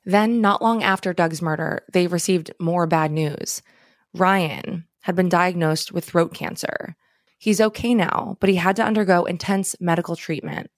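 A woman's voice: fairly low pitch, steady tone, a little slow, dry recording, studio quality. A woman co-hosting a true crime podcast, narrating the case and dropping casual asides. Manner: conversational, adds casual asides. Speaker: podcast co-host.

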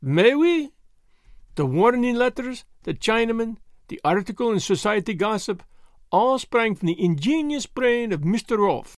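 Guy doing a cheerful fussy little detective for an audiobook, theatrical and polite, pitch jumps all over, dry sound. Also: dry recording. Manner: theatrical, formally polite, precise. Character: dapper little Belgian detective, cheerful, courteous, self-assured man.

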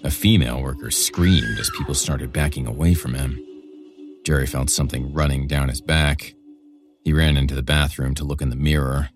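A man's voice: rumbling low, steady tone, normal speed. A man reading a scripted horror story as a podcast narrator, with music behind him.

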